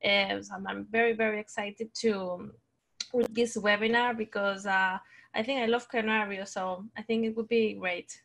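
Eager woman, even tone. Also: medium pitch, moderate pace, gravelly. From Barcelona. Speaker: science communicator.

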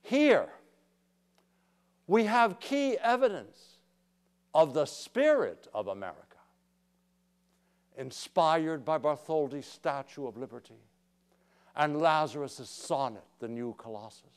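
A middle-aged male presenter recites verse in a studio with slow, theatrical emphasis. His pitch swings widely from line to line, and his voice comes through smooth and resonant. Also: big pitch swings. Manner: dramatic, slow poetic recitation. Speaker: narrator. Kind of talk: studio presenter reading a poem and commentary.

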